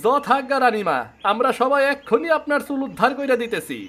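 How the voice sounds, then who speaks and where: A man's voice: extremely high pitch, animated, a little fast, background noise. A man playing an obedient rustic royal crier and guard with a rural eastern Bengali accent in a cartoon. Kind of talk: Bengali fairy tale cartoon.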